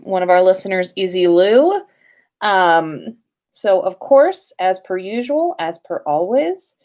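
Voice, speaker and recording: A woman's voice: mid-range voice, a little mellow. A woman hosting a livestream, chatting casually and reading stories aloud in an informal, playful way. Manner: informal, playful, sweary, self-interrupting. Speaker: podcast host reading listener fan fiction.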